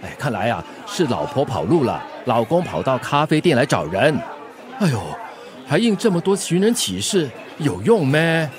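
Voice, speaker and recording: A man's voice: a little high, very animated, moderate pace, a crowd in the background. A man with a Singaporean accent acting a gossipy, wry coffee-shop regular in a radio drama, colloquial and exclamatory. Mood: mocking.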